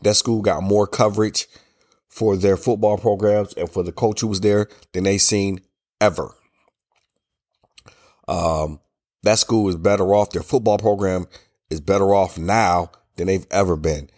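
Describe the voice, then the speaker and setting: A low-pitched American man talks solo on his podcast. His voice is congested and rough from a cold. He speaks in a loose, colloquial way, laying out opinions with emphatic repetition. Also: steady tone, a little slow, darkish tone. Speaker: podcast host. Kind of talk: casual solo podcast talk, opinionated commentary.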